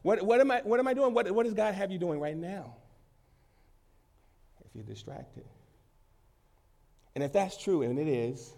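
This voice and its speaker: A man preaching a sermon, emphatic, swinging between loud peaks and quieter stretches and repeating phrases for weight. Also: high-pitched, normal speed, creaky, long pauses. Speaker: pastor.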